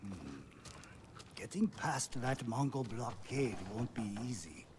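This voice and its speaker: An actor playing a gruff, weary, hard-drinking smuggler in a video game, grumbling and reluctant. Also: male voice, mid-range voice, expressive, slow pace, background noise. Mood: resigned, businesslike. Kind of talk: video game cutscene acting.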